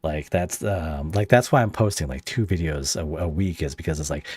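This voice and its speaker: Man, podcast host, very low voice, unhurried hesitant chat, dry sound. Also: a little mellow, slightly rough, no echo. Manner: easygoing, hesitant, many fillers and restarts. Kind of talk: casual interview chat.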